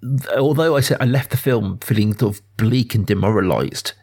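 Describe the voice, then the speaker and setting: A man, perhaps in his thirties, talking through a film casually and earnestly, thinking aloud in a halting way as a podcast host. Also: mid-range voice, darkish tone, slightly rough.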